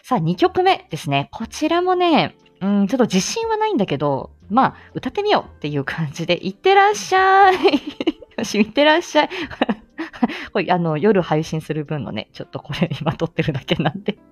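A woman's voice: mid-range voice, huge pitch swings, normal speed. A woman hosting a casual personal audio stream, chatting in a giggly, self-deprecating manner. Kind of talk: casual chat.

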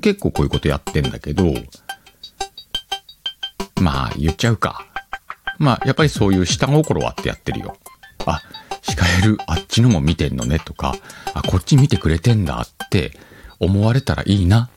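A man's voice: sits low, very animated, moderate pace. A man hosting a podcast, chatting casually alone in an informal, playful way as he thinks aloud. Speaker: podcast host. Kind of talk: casual solo chat. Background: music.

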